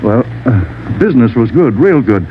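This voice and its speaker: Man playing a rattled bar owner, lively old-radio delivery. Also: medium pitch, normal speed, natural voice. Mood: nervous, explaining. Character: rattled, talkative male bar owner, witness to a holdup. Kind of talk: 1940s-50s radio drama acting. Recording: muffled, hissy vintage radio recording.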